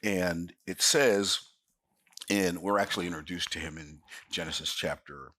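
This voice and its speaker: Bright-voiced man in his sixties preaching. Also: sits low, a little slow, no room sound. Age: 60s. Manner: emphatic, folksy preaching. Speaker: Bible teacher. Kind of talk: sermon-style Bible study.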